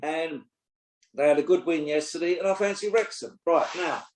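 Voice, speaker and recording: A man's voice: a little high, normal speed. A man, co-hosting a casual football podcast, blunt and opinionated, swearing freely. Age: 60s. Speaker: podcast co-host and pundit. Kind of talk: casual chat. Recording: roomy echo.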